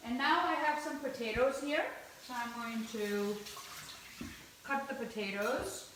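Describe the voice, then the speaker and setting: A woman from India with a fairly high voice talks viewers through a home recipe, chatty and explaining each step as she cooks. She is heard from across a kitchen, with lots of echo and a faint hiss behind her. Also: measured pace. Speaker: cooking show host. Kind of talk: live-streamed cooking demonstration. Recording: echoey kitchen from far off.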